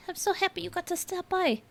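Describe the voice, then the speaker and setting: A woman's voice: very high voice, normal speed, creaky. A woman live streaming a game with casual, upbeat commentary, chirpy and often repeating her own phrases.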